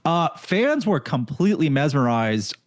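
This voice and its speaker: A man's voice: a little high, very animated, measured pace, no echo, studio quality. A male interview host who asks questions in a friendly, casual way, laughing lightly and often calling his guest "man".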